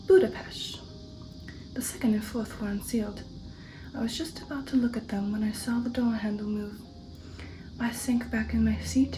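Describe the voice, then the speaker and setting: A woman narrating an ASMR audiobook of gothic horror in a soft, hushed, deliberate manner that often slips into a whisper. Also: fairly high pitch, low-quality recording. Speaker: ASMR storyteller reading a classic horror novel. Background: music.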